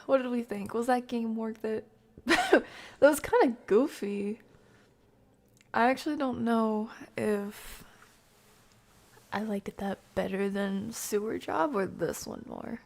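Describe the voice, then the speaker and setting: A woman hosting a game stream, chatting casually and playfully with viewers in an informal, slangy way, her voice often creaky and sometimes soft. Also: fairly high pitch, takes it slowly. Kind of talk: casual chat with live viewers.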